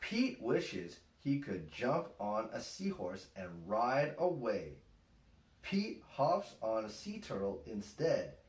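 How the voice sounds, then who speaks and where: A man reads a children's picture book very slowly and deliberately, with lively swings in pitch. He sounds a little way from the microphone in an echoey room at home. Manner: deliberate, expressive, simple phrasing. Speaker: storytime host, father reading aloud. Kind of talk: slow picture-book read-aloud for young children. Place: small room at home, indoors. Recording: roomy, distant home-camera sound.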